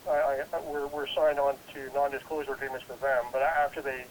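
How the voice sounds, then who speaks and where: A man's voice: mid-range voice, little pitch movement, a little fast, a little hiss or hum, poor audio. A congested man recovering from a head cold, talking casually and rambling with many restarts. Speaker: guest investigator. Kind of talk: casual interview.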